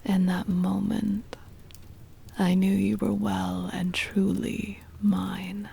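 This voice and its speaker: A woman playing a possessive, doting aristocratic vampire mistress in an ASMR roleplay, whispering breathily in a slow, formal, soothing manner close to the microphone. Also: medium pitch, little pitch movement, mellow, heavily acted. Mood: possessive longing. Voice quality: breathy whisper with creaky fry.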